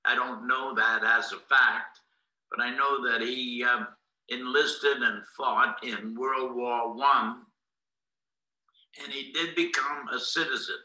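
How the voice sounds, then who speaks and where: A man in his nineties from Boston, whose first language was Greek, recounts his life story in a deliberate, halting, formal way. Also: medium pitch, steady tone, pushed and bright. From Boston, United States. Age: 90s. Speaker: interviewee, war veteran. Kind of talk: recounting life history in an interview. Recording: echoey room.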